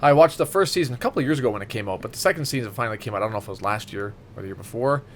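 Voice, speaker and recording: A man's voice: mid-range voice, lively intonation, rapid-fire, slight background noise. A man chatting casually as a podcast co-host, rambling and enthusiastic, with plenty of swearing. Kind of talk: casual chat with friends.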